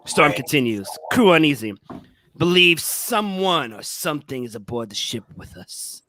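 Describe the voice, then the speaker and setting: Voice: medium pitch, sing-song, moderate pace, bright, forceful tone, no room sound. A performer playing a grave male ship captain dictating an ominous log in clipped phrases, in a horror play.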